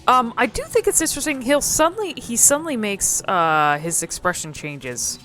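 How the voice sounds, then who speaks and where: A woman's voice: on the high side, sing-song, moderate pace, fairly bright tone, faint music behind. A woman hosting casual, unscripted game commentary, musing and thinking aloud in a hesitant way. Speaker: video host exploring game cutscenes.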